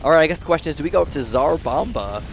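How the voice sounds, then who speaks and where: A man's voice: fairly high pitch, racing, vocal fry, a little hiss or hum. Nasal young man narrating gameplay. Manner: rambling, excitable, thinks aloud. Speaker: gaming channel host. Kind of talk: casual gameplay commentary.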